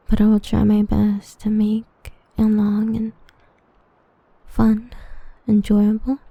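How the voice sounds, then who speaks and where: A woman chatting softly and breathily to listeners as an ASMR audio creator. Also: medium pitch, no echo, dull audio. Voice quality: soft, breathy. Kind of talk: soft ASMR-style outro chat to listeners.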